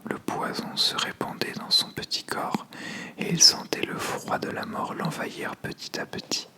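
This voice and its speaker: The voice in your headphones at bedtime, slowly whispering an old fable, soft and deliberate. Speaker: storyteller. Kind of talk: ASMR whispered scripted narration.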